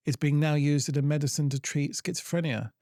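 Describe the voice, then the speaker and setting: A man's voice: on the high side, fairly even intonation, brisk, mellow, dry recording, clean studio sound. A man co-hosting a casual chat podcast, playful and teasing, swearing freely. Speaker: podcast co-host.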